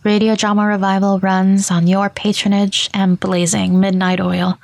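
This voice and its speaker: A woman reading scripted podcast announcements in a measured, clearly enunciated way. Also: medium pitch, level tone, darkish tone, no room sound. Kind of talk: scripted narration.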